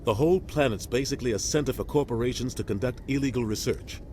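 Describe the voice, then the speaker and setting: An actor playing a grave, seasoned middle-aged military captain in a video game, deliberate and advising. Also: male voice, mid-range voice, a little mellow, slight background noise, lightly acted. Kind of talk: video game cutscene acting. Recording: game music behind the voice.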